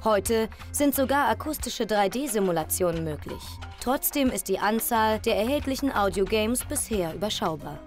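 Eine Frau liest als Reporterin den vorbereiteten Text eines Magazinbeitrags. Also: female voice, mid-range voice, lively intonation, normal speed, few pauses. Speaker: reporter voice-over. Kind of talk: scripted magazine report narration. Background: loud music.

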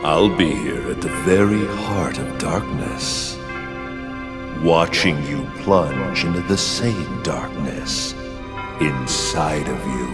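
An actor playing a menacing, arrogant dark lord in a video game, with a deep gravelly voice and a slow, grandiose, taunting manner. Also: male voice, lively intonation, heavily acted. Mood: taunting, seductive. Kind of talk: theatrical video game villain acting. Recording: long hall reverb, music underneath.

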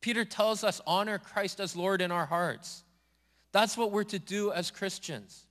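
Pastor, male, higher register, earnest repetitive preaching in a church hall with a long echo. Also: unhurried, fairly bright tone. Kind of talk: sermon. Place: church sanctuary.

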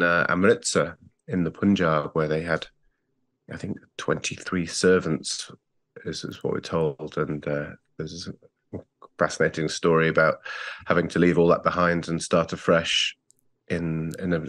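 A man in his forties from England with an English accent, recalling his family in a thoughtful, measured way on a video call. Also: low voice, fairly bright tone. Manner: thoughtful, measured, with frequent restarts. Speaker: guest. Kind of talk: reflective interview talk. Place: plain-walled home room. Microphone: earbuds on a video call.

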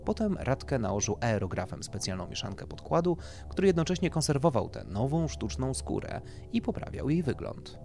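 A man's voice: medium pitch, big pitch swings, moderate pace, vocal fry, hardly any pauses, dark tone, faint music behind. A man narrating a scripted horror story as an audiobook reader.

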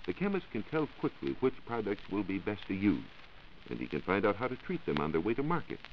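An American man giving a scripted, measured science talk as a reporter on a 1940s radio show. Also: fairly low pitch, sing-song, creaky. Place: radio studio. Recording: muffled 1940s radio recording with hiss.

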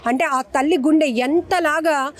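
Woman reporter, high voice, earnest and pleading, slows down on the heavy bits. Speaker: news reporter. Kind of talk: emotional news report. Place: outdoors near a hospital morgue.

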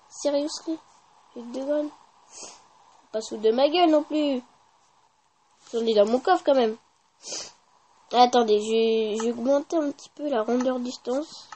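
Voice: high voice, slow, long pauses, dark tone, a little hiss or hum. A gaming YouTuber giving casual, informal gameplay commentary with slang and swearing.

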